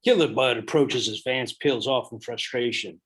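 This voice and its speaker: A man's voice: mid-range voice, moderate pace, breathy edge. A man reading the stage directions aloud at a table read in a plain, matter-of-fact way.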